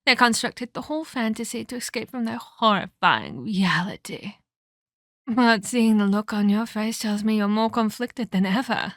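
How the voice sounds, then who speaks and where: A woman's voice: medium pitch, fairly bright tone, no room sound, clean studio sound, natural voice. Woman acting out a haughty, sadistic ancient vampire villainess. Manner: haughty, taunting, scolding, formal diction. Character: sadistic, scheming twelve-hundred-year-old female vampire villain. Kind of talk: ASMR roleplay monologue.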